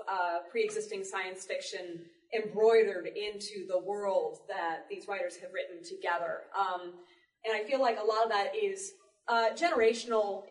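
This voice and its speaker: A woman speaking on a discussion panel in a library room, articulate and measured, with elaborate wording. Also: medium pitch. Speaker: audio drama panelist. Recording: echoey room, distant mic.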